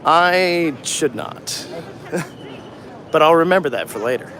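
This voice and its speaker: A man co-hosting a casual livestream walk through a trade show, easygoing and quick to laugh, recorded on a phone. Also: on the high side, lively intonation, fairly bright tone. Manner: easygoing, chuckling, chatty. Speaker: co-host. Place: busy trade show hall. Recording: phone audio in crowded hall.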